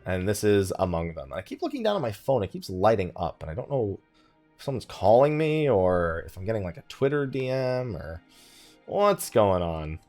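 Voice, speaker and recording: A man's voice: lowish voice, sing-song, moderate pace, a little mellow. A man hosting a let's play, talking in a casual, rambling, self-deprecating way. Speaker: gaming video host. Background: game music.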